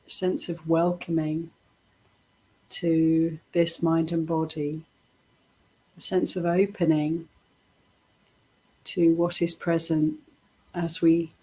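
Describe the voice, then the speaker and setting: A woman guides a meditation in a slightly low, breathy and husky voice. She speaks very slowly and gently, setting out short phrases with pauses between them. Her delivery is softly instructive, as a teacher leading a practice. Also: poor audio.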